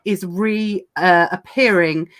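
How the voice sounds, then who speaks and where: A woman hosting a casual livestream chat, talking fast with lots of fillers and restarts, her voice going hoarse. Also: on the low side, fairly bright tone. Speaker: podcast host.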